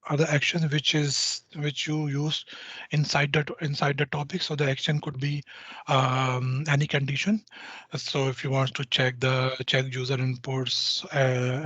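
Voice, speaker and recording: A man giving a technical software walkthrough to a user group, halting and full of restarts and fillers. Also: medium pitch, no room sound, dull audio.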